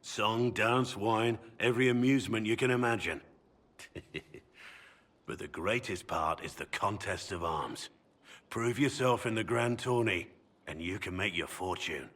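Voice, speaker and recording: A man playing a brooding, honourable middle-aged warrior in a video game, with measured, earnest delivery. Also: lowish voice, fairly bright tone. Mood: animated, nostalgic. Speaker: video game character voice actor. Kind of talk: dramatic game dialogue.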